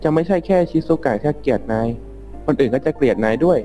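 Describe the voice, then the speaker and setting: A man's voice: medium pitch, expressive, fast, warm tone, soft background music, natural voice. A man voicing an exasperated but helpful robot cat in a cartoon fan drama, talking casually. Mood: resigned, helpful.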